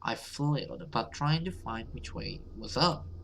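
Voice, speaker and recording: A man's voice: mid-range voice, a little slow, vocal fry, a bit bright, a little hiss or hum. A man giving casual let's play commentary and reading game text aloud. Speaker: gaming video host.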